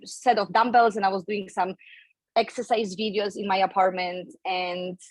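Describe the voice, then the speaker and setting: A woman's voice: medium pitch, level tone, moderate pace, no echo. A woman in her thirties from Poland with a Polish accent, a fitness coach telling her story as a podcast guest in a chatty, candid way. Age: 30s. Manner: chatty, candid, laughs between phrases.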